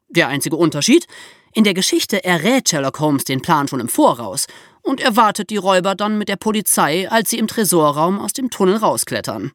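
A woman with a fairly low voice that moves around a lot in pitch. She co-hosts a true-crime podcast and explains things like a storyteller, in a dry, close recording. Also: no echo. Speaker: podcast co-host.

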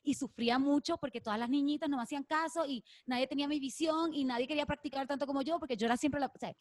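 A woman's voice: high voice, fast talker, dry recording. A woman from Puerto Rico with a Puerto Rican accent, a podcast guest speaking intensely and mixing in English. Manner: intense, emphatic, mixes in English. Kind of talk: casual interview chat.

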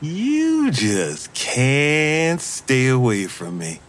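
A man's voice: medium pitch, huge pitch swings, very slow, full character voice. An actor playing a wounded man in an audio drama, groggy and delirious on painkillers, flirty and defiant. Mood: woozy, flirty. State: groggy, medicated. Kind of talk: full-cast audio drama acting.

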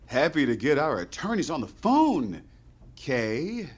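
A man's voice: fairly high pitch, big pitch swings, measured pace. An actor playing an arrogant producer who is curt and sarcastic with his staff. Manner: sarcastic, cutting, imperious.

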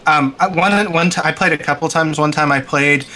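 A man's voice: fairly high pitch, brisk, bright, forceful tone. A man co-hosting a gaming podcast, telling casual stories in a blunt, wry way. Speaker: podcast co-host. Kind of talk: casual anecdotal gaming chat.

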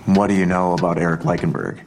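A man acting a weary, cranky middle-aged investigator in an audio drama, terse and dry, with a gravelly, husky voice. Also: low voice, flat delivery, fast talker, darkish tone, slight background noise. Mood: dry, probing.

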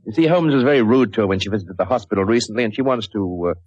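Sly, casual conspirator: lower, husky voice, dull old-time radio drama sound. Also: male voice, rapid-fire, darkish tone, no echo. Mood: sly, confiding. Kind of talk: 1940s radio drama acting. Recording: muffled old radio recording.

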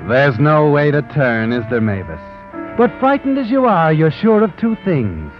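Sardonic radio mystery narrator, swooping delivery. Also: male voice, fairly high pitch, very animated, unhurried, lo-fi sound. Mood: sardonic, urgent. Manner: dramatic second-person address, needling rhetorical questions. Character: sardonic, omniscient male narrator taunting the story's guilty heroine. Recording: vintage 1940s radio with music behind.

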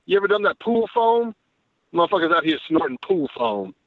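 A man guesting on a podcast, chatting casually with animated, profane, joking banter. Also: fairly high pitch, fairly quick, noisy background. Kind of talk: casual sports podcast chat. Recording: phone-like remote call line.

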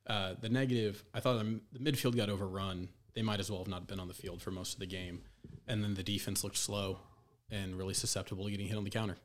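A man's voice: lowish voice, fairly even intonation, fast talker, slight background noise. A man on a podcast panel giving casual sports analysis into a podcast microphone.

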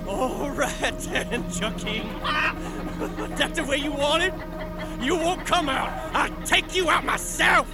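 An actor playing a menacing villain who taunts and calls out slowly in an audio drama. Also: male voice, very high-pitched, loud music behind the voice, full character voice. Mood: taunting, menacing. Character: menacing, taunting Southern villain hunting someone.

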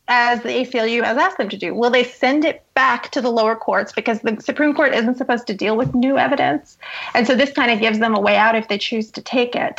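A woman's voice: fairly high pitch, vocal fry, a bit bright, breathy edge. A woman giving articulate, emphatic explanatory commentary as an interview guest, on a dull, lo-fi recording. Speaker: journalist guest. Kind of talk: interview commentary on law and politics.

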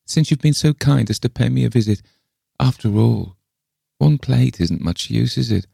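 Man putting on a high, plaintive old lady. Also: normal speed, vocal fry, warm tone, slightly rough, no echo, studio quality. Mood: sighing, grudging. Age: older. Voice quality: thin, piping, squeaky. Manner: plaintive, sighing, wailing. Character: eccentric, frail old lady, wistful, peevish and possessive. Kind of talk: dramatised storybook reading.

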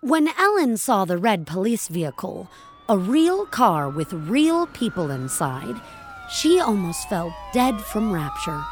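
Unhurried woman narrating audio fiction. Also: medium pitch, very animated, a little hiss or hum.

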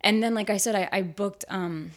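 A woman with a raspy, fairly deep voice, talking candidly and chattily as an actor guest in an interview, with many fillers. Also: normal speed. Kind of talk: casual interview chat.

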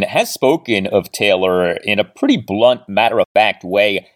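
A man hosting a sports podcast, interviewing with an emphatic, announcer-like delivery. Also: fairly low pitch. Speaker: podcast host.

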